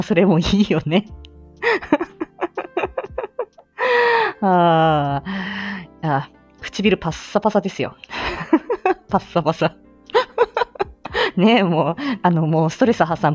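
A woman's voice: on the low side, a little mellow. A woman hosting a casual audio live stream, chatty and self-deprecating in a giggly way. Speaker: host of an audio live stream, amateur singer.

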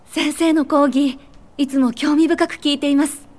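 A woman's voice: high voice, steady tone, moderate pace, darkish tone, close to an everyday voice. A woman playing an earnest young Japanese student of social work in a radio drama. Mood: eager, admiring. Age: young adult.

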